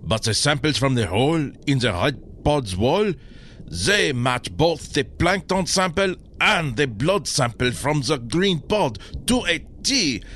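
A man's voice: fairly high pitch, lively intonation, a bit bright, slight background noise. A man doing a grave French professor. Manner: slow, measured, grave. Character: French male professor scientist, grave and learned. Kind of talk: audio drama acting.

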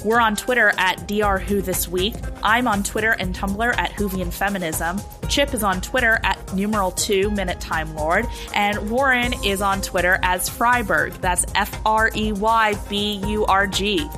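A woman's voice: medium pitch, normal speed, hardly any pauses, music in the background. A woman co-hosting a podcast, talking in a casual, conversational way. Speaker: podcast co-host.

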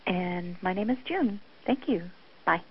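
A woman's voice: on the low side, expressive, a little slow, breathy edge. A woman leaving a friendly voicemail, mildly disappointed. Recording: dull voicemail line.

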